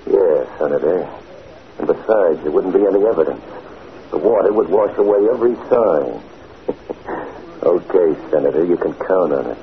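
Menacing, insinuating henchman, deep voice, hissy old radio recording. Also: animated, normal speed, pauses often. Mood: sinister. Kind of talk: 1940s radio drama acting. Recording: noisy, tinny 1940s radio recording.

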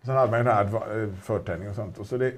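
Husky-voiced man, casual auction chat, meandering delivery. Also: lowish voice, fairly even intonation. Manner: chatty, rambling with restarts and fillers. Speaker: auction specialist presenting a car.

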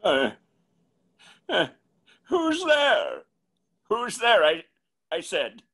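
Man acting a high-pitched, frightened old man. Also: wildly swooping pitch, extremely slow, fairly bright tone, exaggerated voice. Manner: stammering, crying out in alarm. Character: frightened old man woken at night, pleading. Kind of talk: old-time radio horror acting.

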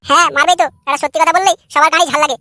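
A man's voice: very high voice, steady tone, racing, bright, forceful tone, no room sound, exaggerated voice. A man voicing a jealous, sneering husband in a comic cartoon, foul-mouthed and sarcastic. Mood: sneering, accusing. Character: jealous, crude, sneering adult son and husband.

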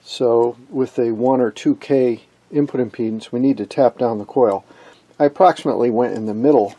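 A man presenting an unscripted technical demonstration in a halting, matter-of-fact way. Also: mid-range voice, mellow, muffled recording. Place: indoors at an electronics workbench.

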